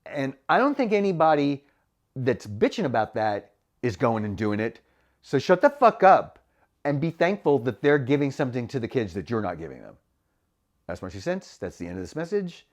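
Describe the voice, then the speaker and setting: Animated man in a frank vlog. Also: medium pitch, moderate pace. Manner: animated, frank, laughs often. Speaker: voice actor and vlogger. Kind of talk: casual candid monologue.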